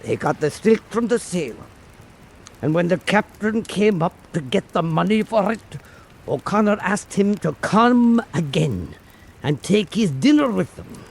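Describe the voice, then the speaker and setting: Male folk-tale narrator for radio drama: slow delivery, highly lively melody. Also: gravelly, slightly rough. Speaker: storyteller.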